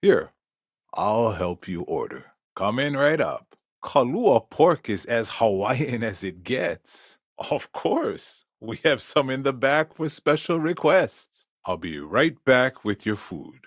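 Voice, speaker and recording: A man's voice: mid-range voice, very animated, slow pace, no echo, clean studio sound. An actor playing an obliging, easygoing male restaurant server in a video game, polite and accommodating. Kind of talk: video game character acting.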